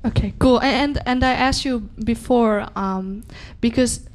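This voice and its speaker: Higher-voiced woman, halting and rambling. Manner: halting, full of fillers and restarts. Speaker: co-host. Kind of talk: casual interview.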